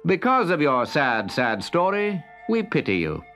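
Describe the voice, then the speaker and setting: A pitying storybook eagle, played by a man. It declaims kindly in a raised, high voice with lively rises and falls. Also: unhurried, faint music behind, exaggerated voice. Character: kindly pitying eagle, male, airborne helper.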